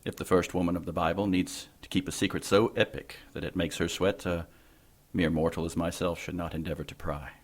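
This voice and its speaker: A man playing an older, formal and deferential corrupt bishop in an audio drama, with measured diction. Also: low-pitched, little pitch movement. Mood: wry, devoted.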